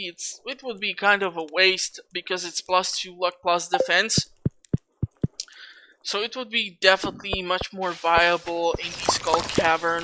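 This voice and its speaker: Casual gamer thinking aloud over loud noise. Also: mid-range voice. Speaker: gameplay host. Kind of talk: casual let's play commentary. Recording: loud background noise, clicks.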